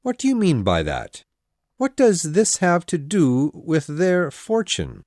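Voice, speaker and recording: A man playing a teasing, stubborn middle-aged husband and father in a storybook, calm, wry and measured. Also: on the high side, no echo, clean studio sound, heavily acted. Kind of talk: storybook dialogue acting.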